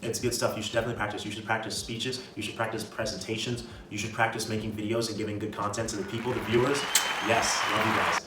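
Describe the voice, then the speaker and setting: A man speaks in a roomy, echoing space, some way from the mic, with music behind him. His voice sits on the low side and moves quickly. He talks like a casual self-improvement vlogger, emphatic and slangy, circling back to drive his points home. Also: level tone, far from the mic, background noise. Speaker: video creator giving self-improvement advice. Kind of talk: casual motivational talk to camera.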